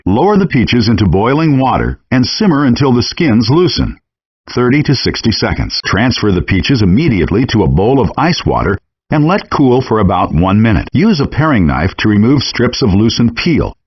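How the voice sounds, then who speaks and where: A man's voice: fairly low pitch, breathy edge, no room sound. A man reading scripted cooking instructions step by step, as an instructional narrator in a game. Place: dry close voice-over recording. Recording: muffled lo-fi handheld game audio.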